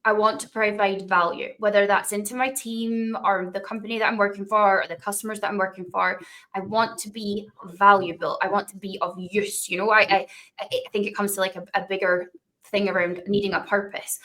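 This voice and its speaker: A woman's voice: mid-range voice, little pitch movement, fairly quick, sharp tone. A woman hosting a podcast interview, chatting casually and checking in with "right?" as she goes. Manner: friendly, frequent "yeah" and "right?". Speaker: podcast host.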